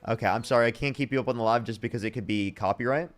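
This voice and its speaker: A young man runs a live debate stream at a quick clip, his pitch sitting in an ordinary middle range. He talks bluntly and cycles through the same screening questions for each caller. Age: young adult. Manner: rapid-fire, repeats screening questions, blunt. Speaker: livestream debate host.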